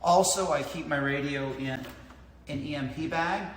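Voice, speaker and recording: A man's voice: fairly high pitch, moderate pace, a bit bright. Man reviewing gear in an echoey room. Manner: methodical, explaining points in order. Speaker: product reviewer. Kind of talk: explanatory product review to camera. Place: large indoor lounge with tiled floor. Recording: echoey hard-walled room, clear background noise.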